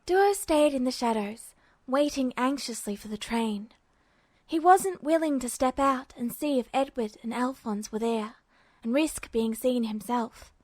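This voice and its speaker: Female amateur narrator for fiction, fairly high voice, dry, close sound. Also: dry recording. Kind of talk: scripted story narration.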